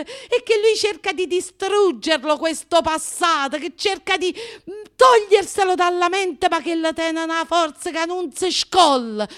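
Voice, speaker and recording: An actress playing a lovelorn, neurotic Neapolitan woman in a theatrical radio drama monologue, confessional and histrionic, swinging between murmurs and outcries. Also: very high-pitched. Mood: exasperated.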